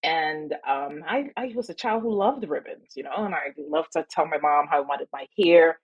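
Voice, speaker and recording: Husky-voiced woman guest. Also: lowish voice, muffled recording. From Trinidad. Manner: reflective storytelling with laughs. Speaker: attorney guest. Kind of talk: podcast interview.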